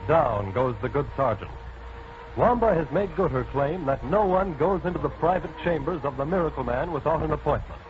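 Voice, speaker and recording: Vintage male serial announcer, fairly high voice, declamatory and suspenseful narration for old-time radio. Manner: dramatic, declamatory. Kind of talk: 1940s radio melodramatic narration. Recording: muffled old radio broadcast, faint noise.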